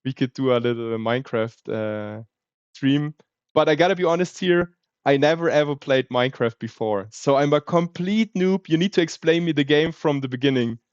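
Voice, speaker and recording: A man's voice: fairly high pitch, moderate pace, clean tone, no echo, dull audio. A man with a German accent chatting casually on a livestream, thinking aloud with plenty of fillers. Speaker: streamer.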